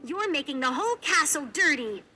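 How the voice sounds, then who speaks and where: A woman voicing a cruel, bossy housekeeper in a children's storybook, shrill and scolding. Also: very animated, normal speed, bright, forceful tone, a little hiss or hum, full character voice. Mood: angry, scolding. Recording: music behind the voice.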